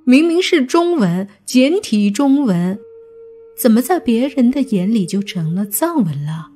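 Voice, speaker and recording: A woman narrating a scripted suspense audiobook in a measured, deliberate storytelling manner. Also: fairly high pitch, sing-song, dark tone. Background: background music.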